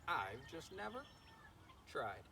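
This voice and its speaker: A man's voice: on the high side, very animated, slow, distant mic, over a noisy crowd, full character voice. A man voicing a naive, boastful young male pig in a children's storybook, with an eager, earnest manner. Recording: clearly noisy, farm animal sounds behind.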